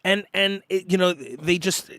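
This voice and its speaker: A man co-hosting a live podcast, talking casually and haltingly, repeating words while searching for names. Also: high voice, fairly bright tone, no echo. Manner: halting, repeats words, asks himself what to call things. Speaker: podcast co-host. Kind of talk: casual debate chat.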